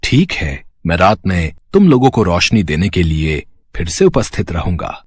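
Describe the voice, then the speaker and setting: Man voicing a deep, husky, hurt moon god. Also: very animated, moderate pace, darkish tone, full character voice. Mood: forgiving. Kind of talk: animated moral story acting.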